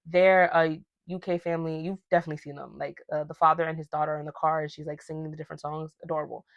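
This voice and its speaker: A woman's voice: low-pitched, little pitch movement, quick pace, dry recording, dull audio. A young woman from Ghana hosting her own podcast, talking casually and animatedly, with slangy asides. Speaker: podcast host. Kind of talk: casual solo podcast rant.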